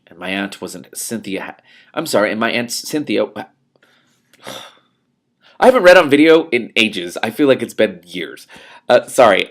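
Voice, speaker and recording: Male book reader, low register with heavy vocal fry, expressive delivery, given to off-the-cuff reactions. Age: adult. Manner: animated, reacts aloud to the text and breaks off to comment. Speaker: reader and commentator of a book read-along show. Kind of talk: expressive read-aloud of a novel with spontaneous asides.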